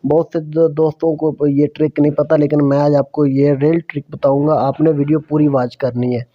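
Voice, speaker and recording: A man's voice: on the high side, little pitch movement, a little fast, muffled recording. A man narrating a casual app tutorial, explaining step by step in a repetitive way. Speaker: tutorial host.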